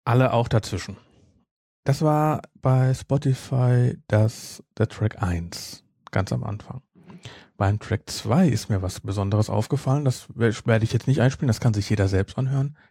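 Casual podcast host, male, relaxed mellow delivery that rambles and self-corrects, very dry recording. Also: medium pitch, moderate pace, dry recording.

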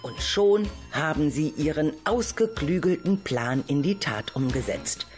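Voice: medium pitch, lively intonation, measured pace, soft background music. A narrator reading scripted linking narration for an amateur radio play.

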